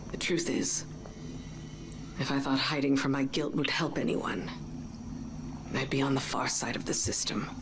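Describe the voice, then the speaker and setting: Low-voiced, weary woman war leader. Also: fairly even intonation, noisy background. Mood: heavy, regretful. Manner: serious, measured. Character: weary, resolute female war leader carrying guilt. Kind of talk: dramatic game cutscene dialogue. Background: music.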